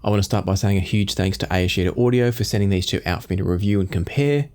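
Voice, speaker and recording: Male reviewer, forties, fairly low pitch, measured explanatory delivery. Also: a little mellow. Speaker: audio gear reviewer and host. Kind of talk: product review to camera. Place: small home studio room indoors.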